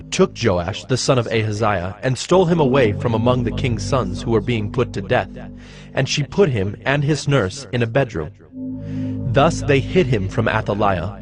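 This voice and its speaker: A man narrates an ancient scripture in a measured, solemn way. His voice sits at a middle pitch, with music clearly playing behind it. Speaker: narrator of a dramatized audio Bible. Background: background music.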